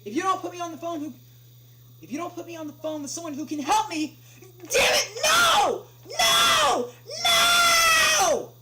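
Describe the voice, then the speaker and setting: A woman voicing an irate, indignant male customer on a support call, loud and strained, demanding things over and over. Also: very high-pitched, big pitch swings, a little slow, over a noisy crowd, pitched above the performer's own voice, full character voice. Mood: furious. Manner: indignant, repeating demands with rising insistence. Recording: clearly noisy home livestream with hum.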